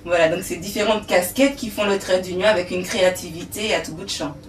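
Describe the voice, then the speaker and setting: A woman's voice: on the low side, fairly quick, faint music behind. A woman hosting a TV interview show, speaking in a formal, flowery way. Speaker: TV show host. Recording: echoey room, distant mic, faint noise.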